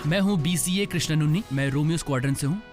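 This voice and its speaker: Higher-voiced male cadet, music behind. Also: quick pace. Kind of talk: interview.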